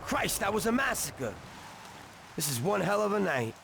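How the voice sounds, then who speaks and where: A man's voice: sits high, sing-song, moderate pace, creaky, noisy background, full character voice. A man playing a cocky, hot-tempered 1930s American mobster in a video game, with brash, slangy street talk. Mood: grim. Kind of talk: dramatic video game voice acting.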